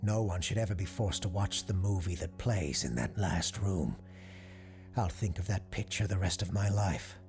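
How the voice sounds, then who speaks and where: A troubled working man, voiced by a male narrator gives plain-spoken testimony in an audio drama. He sounds weary and haunted, low and creaky, over music. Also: moderate pace.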